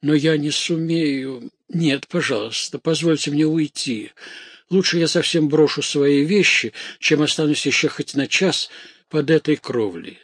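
Casting: male narrator as frightened young maidservant, high-pitched, husky, breathless and garrulous, gothic storybook. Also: lively intonation, moderate pace, dry recording. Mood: frightened pleading. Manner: breathless, exclamatory, rambling.

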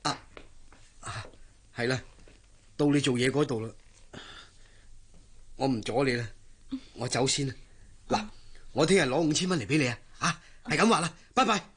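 A man's voice: medium pitch, normal speed, pauses often, fairly bright tone, slightly rough. An actor playing an obliging, casual male visitor in a Hong Kong Cantonese radio drama.

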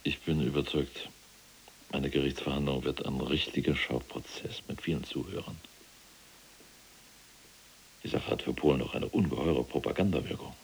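An actor playing a cold, calculating SS general awaiting trial in a radio drama, speaking in a deliberate, formal, self-serving manner with a gravelly, husky voice. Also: male voice, extremely low pitch, a little hiss or hum, rough recording.

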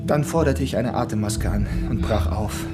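An actor playing a strong, rebellious son in a German radio play, telling of his forbidden escape with deliberate, dramatic emphasis. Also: fairly low pitch, lightly acted. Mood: determined. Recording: music under the voice.

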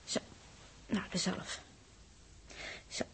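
An actress playing a kind, fussing, trusting young spaceship crew member in a 1960s radio drama, girlish and breathy, chatting with soft exclamations. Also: very slow, a little hiss or hum. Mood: fussing, tender.

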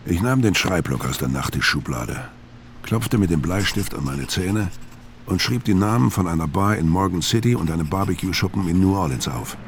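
A man's voice: sits low, expressive, moderate pace, a little hiss or hum. A man acting a world-weary, hard-boiled criminal who tells his story tersely in a crime radio drama. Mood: firm, instructing. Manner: terse, laconic. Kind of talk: first-person crime radio drama narration and dialogue.

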